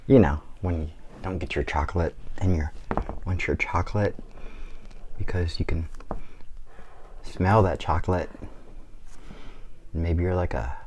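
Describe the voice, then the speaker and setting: A man's voice: low-pitched, unhurried, long pauses, darkish tone, dull audio, a little hiss or hum. A man giving a casual, playful drawing tutorial for kids, rambling and trailing off mid-thought. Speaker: guest artist giving drawing lesson.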